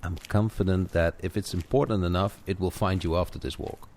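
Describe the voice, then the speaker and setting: A man with a low-pitched voice speaks as a coach guiding a single listener. His delivery is conversational and encouraging, with playful asides. Faint background noise sits behind the voice. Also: steady tone, brisk, darkish tone. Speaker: coach guiding a walking audio session. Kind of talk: guided coaching talk, conversational, addressed to one listener. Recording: clear background noise of outdoor walk effects. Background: added walking footsteps, garden birds, ambience.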